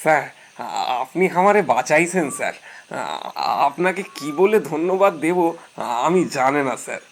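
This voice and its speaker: Man acting a humble, higher-voiced shopkeeper. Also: sing-song, creaky, slight background noise, exaggerated voice. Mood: effusive gratitude. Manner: deferential, halting, effusive. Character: humble, grateful poor Sherpa shopkeeper in his forties. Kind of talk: audio drama acting.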